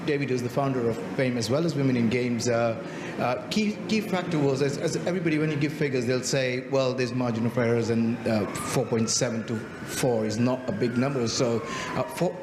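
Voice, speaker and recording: A man's voice: mid-range voice, brisk, hardly any pauses. A man from Kenya speaking on a games conference panel, hesitant and full of "uh" fillers. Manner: hesitant, many "uh" fillers, laughs easily. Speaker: panelist, games company chair. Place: conference hall. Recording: roomy hall with long reverb and background noise.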